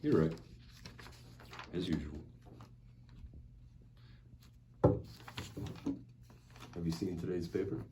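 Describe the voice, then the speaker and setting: A man's voice: deep voice, normal speed, lightly acted. A man at a table read playing a righteous, sardonic law agent who interrogates in a pointed, accusatory way. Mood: sardonic, pressing. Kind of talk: acted screenplay table read. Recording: noticeable background noise.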